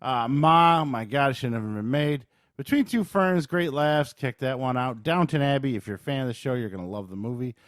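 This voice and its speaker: A man's voice: mid-range voice, brisk, a bit bright, dry recording, studio quality. A man chatting casually as co-host of a movie podcast, informal and blunt in the way he puts things. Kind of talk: casual chat.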